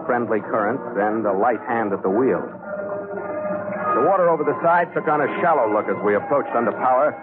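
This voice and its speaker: A man's voice: mid-range voice, natural voice. Tinny vintage radio sea captain, played by a man. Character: seasoned ship's captain narrating his sea log. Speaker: lead actor and narrator. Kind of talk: 1940s radio adventure acting. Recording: tinny 1940s radio recording with music.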